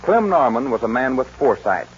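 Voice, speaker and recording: A man's voice: mid-range voice, sing-song, brisk, a little hiss or hum. A man announcing and narrating a 1940s western radio show in formal, grandiose showman phrasing. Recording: dull 1940s radio recording.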